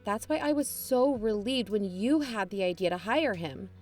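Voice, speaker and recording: Audio drama role: guarded, practical female investigator, played by an actress, medium pitch, naturalistic delivery, music bed. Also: animated, moderate pace, natural voice. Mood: earnest, firm. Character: pragmatic, guarded woman, an amateur investigator.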